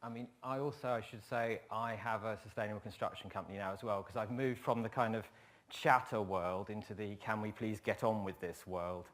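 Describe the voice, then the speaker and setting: A man's voice: lowish voice, steady tone, fairly quick, a bit bright. A man who works as a planner giving a conference talk, wry and emphatic, repeating his points.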